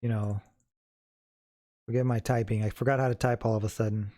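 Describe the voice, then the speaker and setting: A man giving a casual, unscripted software tutorial in an informal, easygoing way. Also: fairly low pitch, flat delivery, a little fast, no echo, studio quality. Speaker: host of a how-to tutorial.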